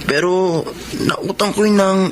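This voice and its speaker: A man's voice: high-pitched, steady tone, unhurried, a little hiss or hum. A man acting a sly, irresponsible young gambler and younger brother in a Filipino radio drama. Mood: sheepish, evasive.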